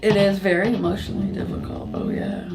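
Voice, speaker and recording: A woman in her fifties from Florida, a clinic patient speaking haltingly and emotionally in a documentary interview. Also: medium pitch, fairly even intonation, creaky, very noisy. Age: 50s. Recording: music bed under voice.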